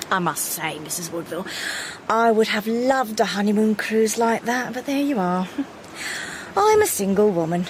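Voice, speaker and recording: A woman's voice: mid-range voice, very animated, a little slow, slight background noise. A woman playing a chatty, overfamiliar spinster landlady in a period radio drama, prattling and easily flustered. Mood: gushing, coy. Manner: gushing, prattling, exclamatory.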